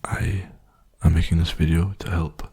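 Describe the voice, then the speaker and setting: A young man speaking softly in a hushed, breathy, soothing whisper, rambling casually for an ASMR video. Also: deep voice, little pitch movement, moderate pace. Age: young adult.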